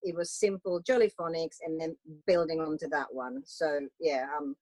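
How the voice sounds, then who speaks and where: A woman's voice: low voice, moderate pace, a little mellow, no room sound, rough recording. A woman from Finland, a Swedish-speaking Finn, chatting casually as a guest about homeschooling, often restarting her sentences. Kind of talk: casual conversation.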